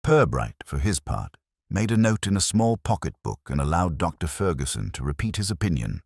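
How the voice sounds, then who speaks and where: Very deep-voiced male narrator. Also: very animated, gravelly, a little mellow, no echo, clean studio sound. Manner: measured, dryly ironic storytelling. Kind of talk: scripted narration of a radio mystery.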